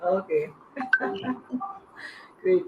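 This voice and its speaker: A woman moderating an unscripted panel with hesitant, halting phrasing. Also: high voice, huge pitch swings, loud music behind the voice. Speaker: panel host. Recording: echoey, faintly noisy online call.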